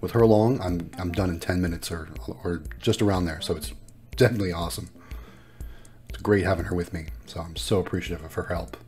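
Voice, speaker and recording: Low-pitched man chatting over music. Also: steady tone, quick pace, darkish tone. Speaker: vlogger and vending machine operator. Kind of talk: casual chatty vlog narration.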